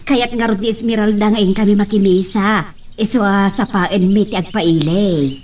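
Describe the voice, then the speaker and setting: A woman's voice: mid-range voice, fairly even intonation, moderate pace, a little mellow, close to an everyday voice. A woman playing a nervous, fretful middle-aged peasant wife and mother in a radio drama, with an Ilocano accent. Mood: subdued, practical. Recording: distant, faintly noisy recording.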